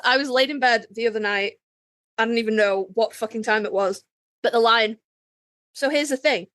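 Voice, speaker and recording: A woman's voice: fairly high pitch, moderate pace, a bit bright, no room sound, studio quality. A woman co-hosting a podcast in casual, candid chat, wry and sweary, acting out little dialogues with herself. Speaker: podcast co-host.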